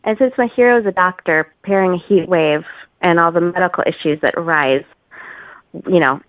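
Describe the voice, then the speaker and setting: A woman talking as an author guest in a casual interview, with an informal, halting, giggly manner. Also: lowish voice, no echo, narrow, tinny audio.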